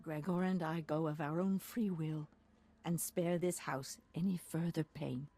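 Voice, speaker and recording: An actress playing a devoted, quietly resolute wife in a video game, speaking gently and formally. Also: female voice, deep voice, steady tone, a little slow. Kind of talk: video game dialogue acting.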